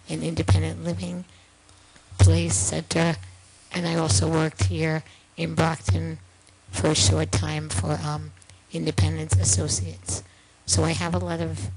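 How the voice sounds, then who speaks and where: A hoarse woman speaks into a microphone at a town board meeting, applying for a seat on a commission in a steady, plain-spoken way. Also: low voice, poor audio. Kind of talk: public statement at a board meeting.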